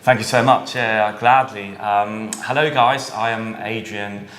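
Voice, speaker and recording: Low-voiced Swiss man, echoey room. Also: fairly even intonation, a little slow, fairly bright tone, a little hiss or hum. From Switzerland. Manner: thoughtful, with frequent fillers and tag questions. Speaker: startup founder and CEO guest. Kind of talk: conversational interview answers. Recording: echoey room with faint ambience.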